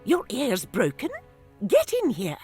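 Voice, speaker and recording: A woman playing a feisty, sarcastic rodent-folk trader in a brisk audio drama performance. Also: fairly high pitch, huge pitch swings, over music. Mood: urgent.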